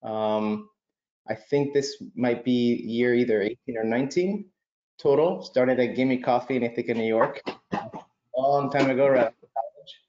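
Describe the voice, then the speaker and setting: A man's voice: medium pitch, normal speed, a little mellow, reverberant, dull audio. A man introducing himself on a video panel as a coffee roastery owner, casual and laid-back.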